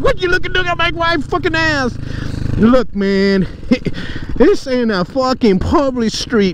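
High-voiced brash man vlogging from a motorcycle. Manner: brash, crude, swearing, joking. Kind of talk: casual rambling vlog chat while riding. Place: outdoors on a moving motorcycle in city streets. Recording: clear engine and street noise. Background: motorcycle engine, traffic, street ambience.

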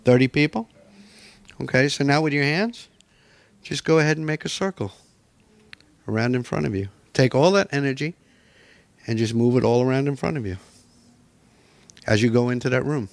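A man's voice: medium pitch, moderate pace, gravelly, long pauses, no echo. A middle-aged man presenting a workshop in a hotel meeting room, coaching people step by step.

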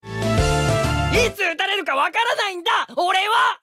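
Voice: very high-pitched, normal speed. An actor playing a cowardly, whining teenage boy swordsman in an anime game, wailing frantic complaints. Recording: loud game music behind the voice.

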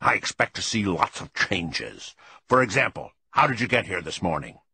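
A man's voice: low voice, sing-song, creaky, slightly rough, exaggerated voice. Man playing a bellowing, bossy old grandfather mouse. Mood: bossy, indignant. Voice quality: shrieking, shouting. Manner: domineering, emphatic, drawn-out key words.

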